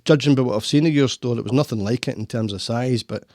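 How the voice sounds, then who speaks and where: A man's voice: mid-range voice, quick pace, darkish tone, dry recording. A Scottish man hosting a casual podcast chat from his studio, rambling with frequent restarts. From Scotland. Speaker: podcast host. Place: home studio.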